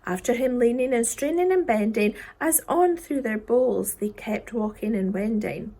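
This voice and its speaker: High, breathy woman reading theatrically. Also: normal speed. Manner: theatrical, dropping into hushed whispers. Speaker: story reader. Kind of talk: expressive children's poem reading.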